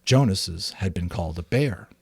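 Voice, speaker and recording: A man's voice: low-pitched, lively intonation, normal speed, vocal fry, husky, no room sound, studio quality. A man reading scripted storybook narration aloud.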